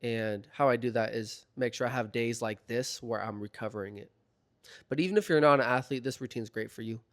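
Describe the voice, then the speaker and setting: A young man in a laid-back, chatty voiceover, his voice slightly hoarse from a recent illness. He talks casually and keeps dropping in fillers as he walks through a stretching routine. His pitch sits in the middle range. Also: brisk. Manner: relaxed, chatty, filler-heavy. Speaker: fitness vlogger. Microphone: voiceover mic.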